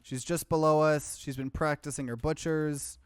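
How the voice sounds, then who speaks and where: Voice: mid-range voice, fairly even intonation, moderate pace, clear, resonant tone, dry recording, studio quality. A let's play host giving casual, rambling, conversational commentary on a game. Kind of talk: casual gaming livestream commentary. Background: game music.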